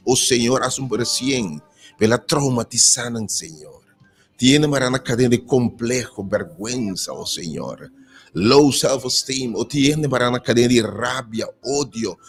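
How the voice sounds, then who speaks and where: A man leading an impassioned prayer, his voice sinking low and soft at the end of each phrase. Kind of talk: fervent prayer over music. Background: music playing.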